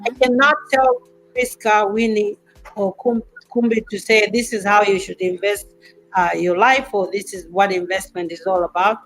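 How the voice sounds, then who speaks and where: A woman in her fifties hosting a casual talk show, teasing and animated as she reads out viewer comments. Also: mid-range voice, moderate pace, faint music behind, rough recording. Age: 50s. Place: in America, away from her home country.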